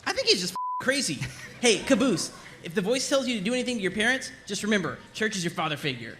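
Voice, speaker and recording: Mouthy sarcastic soldier, high voice, lively swings, comedy cartoon, slight noise. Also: male voice, fast. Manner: sarcastic, needling. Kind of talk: animated comedy table read.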